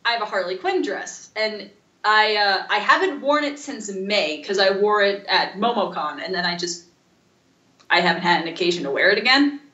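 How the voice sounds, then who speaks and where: A woman co-hosting a casual podcast, rambling through stories in an animated, informal way. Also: mid-range voice, moderate pace, roomy sound, lo-fi sound. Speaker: podcast co-host. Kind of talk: casual chat.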